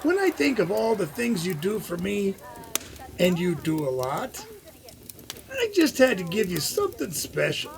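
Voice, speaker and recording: An actor playing a hearty, folksy man as Santa in an old-time radio comedy, with a gravelly voice. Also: sits high, big pitch swings, measured pace, exaggerated voice. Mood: hearty, affectionate.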